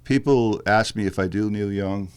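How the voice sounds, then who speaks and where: A male musician with a deep bass-baritone voice, talking in a halting, thoughtful way with fillers and restarts in a casual interview. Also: clean tone. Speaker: musician guest.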